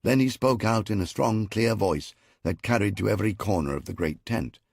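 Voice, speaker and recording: A man's voice: fairly low pitch, normal speed, vocal fry, darkish tone, breathy edge, dry recording. A man narrating a historical audiobook in the first person, with a dry, wry storytelling manner.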